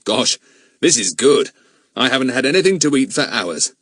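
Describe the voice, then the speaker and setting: A hearty man from a children's adventure story, played by a male narrator. He is jovial and bantering, with emphatic, animated delivery and a dry close sound. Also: medium pitch, normal speed, breathy edge, no room sound, voice pushed up. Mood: teasing. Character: hearty, teasing, fond grown-up male friend. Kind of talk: audiobook character voice.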